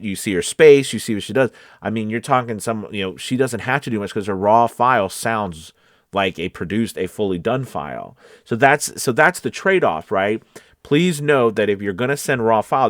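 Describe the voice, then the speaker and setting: Male voice-over coach, lower register, very dry recording; informal advice delivery that leans on key words and checks in with the listener. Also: no echo. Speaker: voice-over coach and casting director. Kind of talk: casual direct-to-viewer advice talk.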